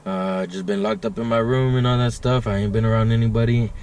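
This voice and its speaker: A man's voice: lowish voice, fairly even intonation, a little fast, darkish tone, a little hiss or hum. Laid-back guy vlogging outdoors. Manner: laid-back, rambling, says "guys" often. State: congested, stuffed sinuses. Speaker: fishing vlogger. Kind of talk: casual vlog talk to camera. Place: outdoors by a river.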